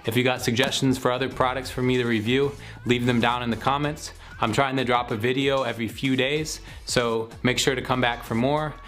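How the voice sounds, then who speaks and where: A young man talks through a product review in a relaxed, conversational way. His voice sits at a middling pitch. He is recorded on a camera mic in a small home room, with music in the background. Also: a little fast, few pauses. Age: young adult. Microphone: camera microphone at about arm's length or a little more.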